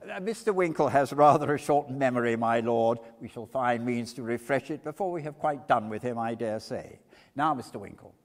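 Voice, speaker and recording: A man playing a brisk, sarcastic, bullying junior barrister, questioning with peremptory mock politeness in a stage reading. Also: mid-range voice, close to an everyday voice. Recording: reverberant church hall.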